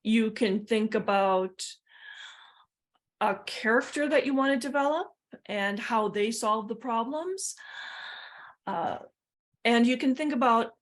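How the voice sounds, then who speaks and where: A woman trainer talking informally in an online workshop, explaining and offering practical suggestions as she answers questions. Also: on the high side.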